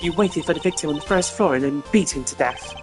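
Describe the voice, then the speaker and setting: A man's voice: a little high, fast talker, close to an everyday voice. A man voicing a strict, haughty young female prosecutor who scolds and commands, over game music. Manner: imperious, scolding.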